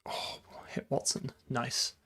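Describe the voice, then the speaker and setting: A man's voice: slow pace, fairly bright tone, whispered. A man thinking aloud in casual live coding commentary, muttering to himself and trailing off mid-thought. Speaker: programmer livestreaming game development.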